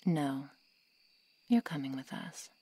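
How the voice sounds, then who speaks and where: A stern female vampire, acted by a woman for an ASMR audio drama. She is low and dark in tone, husky, and speaks with slow, formal solemnity, weary of the world. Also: expressive, no room sound, heavily acted. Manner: measured, formal, solemn diction.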